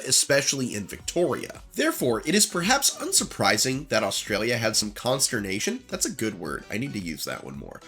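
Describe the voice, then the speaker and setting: Male YouTube host, stuffy and nasal from flu, animated pitch, earnest scripted narration. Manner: earnest, opinionated asides between read passages. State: recovering from flu. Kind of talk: reading a scripted commentary on lost media.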